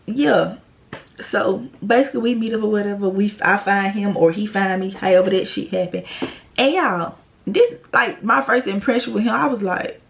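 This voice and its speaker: A woman, telling a profane, animated storytime to her vlog viewers. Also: medium pitch. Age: 20s. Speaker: vlogger. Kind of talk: casual storytime monologue.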